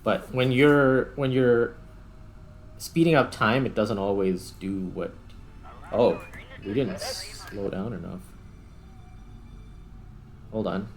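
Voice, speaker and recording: Low-voiced man casually commentating a game. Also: steady tone, poor audio. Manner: thinking aloud in short, halting remarks. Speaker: gaming host.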